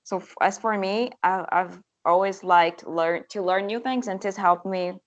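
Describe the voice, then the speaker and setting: Woman guest, Portuguese native speaker, eager and talkative, mid-pitched, slightly muffled video call audio. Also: moderate pace. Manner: enthusiastic, talkative, with restarts. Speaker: language teacher guest. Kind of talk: casual interview answers.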